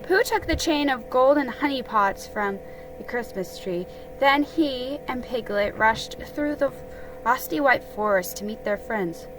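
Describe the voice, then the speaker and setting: A woman reads a children's story dramatically. Her voice is somewhat high and very animated, taken at a slow pace, with music playing behind her. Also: low-quality recording.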